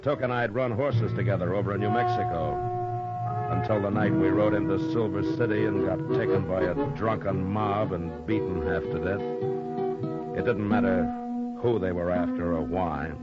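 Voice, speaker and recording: Man acting a very deep-voiced, weary western marshal. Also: normal speed, lightly acted. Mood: somber, reflective. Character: steady world-weary western marshal, middle-aged man. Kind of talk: 1950s radio western acting and narration. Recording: muffled old radio recording with music.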